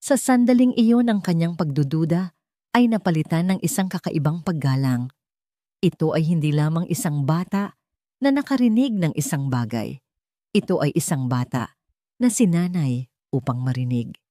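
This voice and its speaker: Low, mellow woman storyteller. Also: expressive, normal speed, no echo, studio quality. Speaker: narrator. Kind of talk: scripted audio story narration, dramatic.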